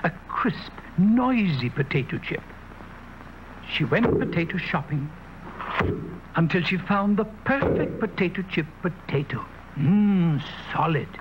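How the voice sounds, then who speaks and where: A man's voice: high-pitched, expressive, a little slow. A man narrating a commercial like a little storybook tale, on a muffled, noisy old recording.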